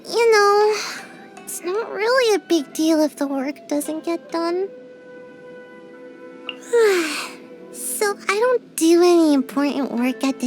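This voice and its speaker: A woman's voice: sits high, darkish tone. A woman voicing a small, sleepy girl ninja in a video game, drowsy and sighing, always avoiding work. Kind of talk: video game character voice lines.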